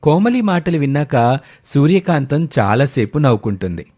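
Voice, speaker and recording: A man's voice: fairly high pitch, sing-song, normal speed, darkish tone, slightly rough. A man narrating a scripted moral story as its storyteller.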